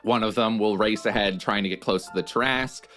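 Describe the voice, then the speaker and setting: A man's voice: fairly low pitch, brisk, fairly bright tone. A man playing a tabletop roleplaying game, improvising narration of what his character does.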